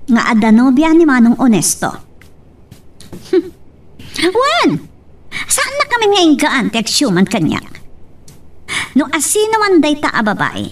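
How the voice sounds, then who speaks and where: An actress playing a chatty, inquisitive young woman from a wealthy family in a radio drama, with an expressive Filipino accent. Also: sits high, unhurried, long pauses, far from the mic, exaggerated voice. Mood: curious, chatty. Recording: off-mic, noisy.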